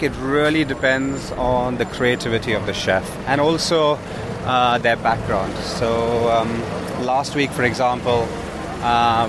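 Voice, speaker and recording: A man who grew up in India talks in a relaxed, chatty way, full of little fillers and restarts. He is out on a city street, and there is plenty of traffic noise around him. His voice sits at an ordinary middle pitch. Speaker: food startup co-founder. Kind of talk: casual walking interview. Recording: outdoor street recording with traffic.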